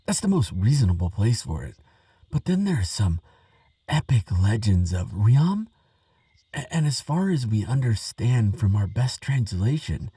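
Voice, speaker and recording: A man playing a nervous, kindly, chatty researcher in an audio roleplay, hesitant and gentle, rambling with small stammers. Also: medium pitch, breathy edge, no room sound, distant mic, exaggerated voice. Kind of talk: audio roleplay monologue addressed to the listener.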